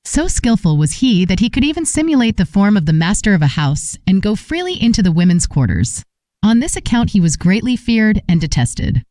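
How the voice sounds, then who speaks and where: A woman's voice: medium pitch, very animated, fairly quick, warm tone, no room sound. A woman reading a scripted folk tale as an audiobook narrator.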